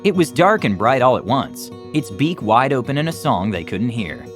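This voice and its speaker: A man reads a children's story as narrator, with a very lively, expressive melody to his mid-pitched voice. Music plays clearly behind the reading. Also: normal speed. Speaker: storytime reader, music club teacher.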